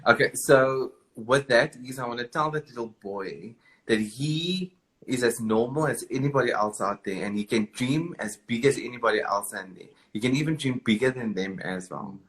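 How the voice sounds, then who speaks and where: A man's voice: medium pitch, moderate pace, fairly bright tone, rough recording. A man, a guest in a live video interview, talking emphatically and encouragingly. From South Africa. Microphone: video call.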